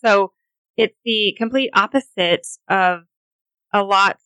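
A woman with a Montana accent gives steady, clear explanations as a guest, with a few fillers. Her pitch is mid-range. The recording is dry and close, with no room sound. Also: slow pace, dry recording, low-quality recording. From Montana, USA. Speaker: homeopath guest. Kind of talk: explanatory interview answers.

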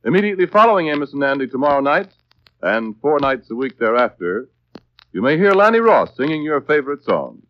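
A man's voice: mid-range voice, very animated, fairly quick, no room sound, muffled recording. An American man working as a radio announcer, reading scripted copy in a formal, carefully enunciated 1940s style. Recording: dry, band-limited vintage radio broadcast.

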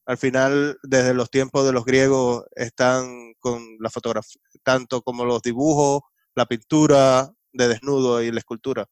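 Cuban man with a smooth voice. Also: mid-range voice, level tone, normal speed, no echo. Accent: Cuban Spanish. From Cuba. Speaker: podcast guest, photographer. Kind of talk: casual interview chat.

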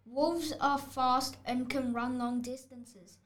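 A schoolboy of about eleven voicing a vain, indignant storybook wolf who protests and cuts in, in a scripted audiobook style. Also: male voice, extremely high pitch, steady tone, takes it slowly, a bit bright, full character voice. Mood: exasperated. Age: child, about 11 to 12. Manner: protesting, exclamatory, interrupting the narrator. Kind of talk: scripted picture-book audiobook acting.

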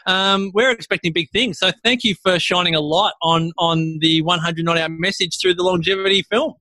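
A man hosting a podcast, giving lively, hyped-up introductions in casual chat. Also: high voice, normal speed, dry recording. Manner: upbeat, hyping introductions. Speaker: podcast host.

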